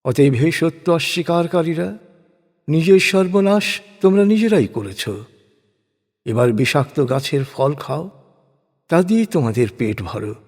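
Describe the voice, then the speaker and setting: A man gives a solemn, scripted reading of scripture in a measured, declamatory manner. His voice sits a little high for a man and has a husky grain. A long hall-like reverb trails his words. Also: lively intonation, a little mellow. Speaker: reciter of a scripture translation. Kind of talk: solemn scripted religious narration.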